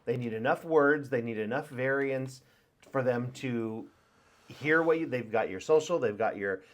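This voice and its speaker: A man's voice: mid-range voice, moderate pace. Rambling male podcast co-host. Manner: rambling, acts out phone calls in quoted voices. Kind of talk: casual chat.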